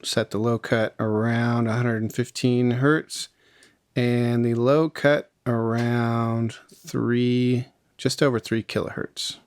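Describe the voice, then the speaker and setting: A man narrating a casual, step-by-step music software tutorial in an informal, explanatory way. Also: medium pitch, level tone, takes it slowly, smooth, dry recording, studio quality. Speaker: music production tutorial host.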